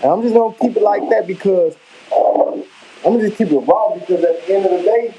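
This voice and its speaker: A man's voice: high-pitched, a little fast, warm tone, highs cut off, a little hiss or hum. Rambling, emphatic Chicago man. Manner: rambling, emphatic, slangy, repeats himself. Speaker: vlogger. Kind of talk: casual live commentary. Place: home kitchen.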